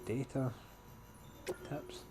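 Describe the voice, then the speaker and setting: Casual male gamer over background noise. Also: drawn-out words. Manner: informal, reading on-screen text aloud. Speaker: gameplay walkthrough host. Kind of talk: casual gameplay commentary.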